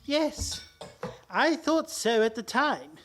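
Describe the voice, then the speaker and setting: A henpecked older father-in-law from a comic radio play, acted out by a performer, timid but genial. The voice sits high and swings about animatedly, with fussy, hesitant, old-fashioned courtesy. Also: big pitch swings, slow pace, fairly bright tone, heavily acted. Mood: confiding. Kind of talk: stage-comedy radio play acting.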